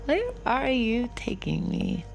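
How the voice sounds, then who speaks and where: Creaky-voiced, smitten, tipsy date. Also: female voice, on the high side, wildly swooping pitch, slow, heavily acted. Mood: tipsy, giggly. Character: tipsy smitten woman on a first date, flirty and nervous. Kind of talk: intimate audio drama acting. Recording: music behind the voice. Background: music.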